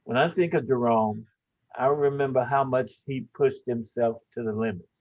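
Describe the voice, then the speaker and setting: Brief: older academic man in his sixties, measured storytelling, tinny call audio. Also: mid-range voice. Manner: frequent "uh" fillers, measured storytelling. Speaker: professor giving a tribute. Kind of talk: personal tribute speech.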